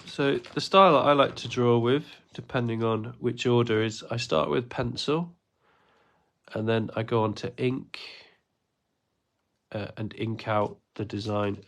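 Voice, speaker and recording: A man's voice: lowish voice, measured pace, gravelly, a bit bright, slight background noise. A man, stuffy from a cold, chatting his way through a live drawing lesson with plenty of ums and uhs. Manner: rambling, many ums and uhs. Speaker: art teacher running a livestream class. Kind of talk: casual livestream drawing lesson.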